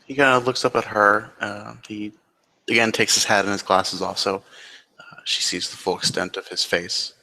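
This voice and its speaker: A man narrates a tabletop roleplaying scene as he makes it up. His voice sits a little low yet sounds bright and forward. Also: steady tone, normal speed, rough recording. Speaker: roleplay game player. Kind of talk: improvised third-person narration of a tabletop roleplay.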